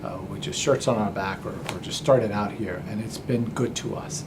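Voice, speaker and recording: A man's voice: lowish voice, moderate pace, noisy background. A man from Pakistan answering interview questions in a friendly way, often repeating words as he starts. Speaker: interview guest, business founder.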